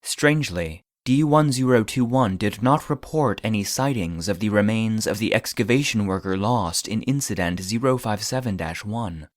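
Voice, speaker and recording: An actor playing an impassive database voice, formally narrating incident logs in an audio drama. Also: male voice, on the low side, moderate pace, few pauses, no room sound, studio quality, close to an everyday voice. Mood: detached. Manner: formal, detached. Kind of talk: scripted narration.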